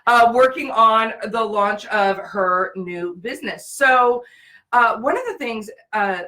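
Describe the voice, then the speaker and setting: A woman's voice: fairly high pitch, expressive, slow pace, bright, forceful tone. Woman hosting a live show. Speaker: business show host, brand and profit strategist.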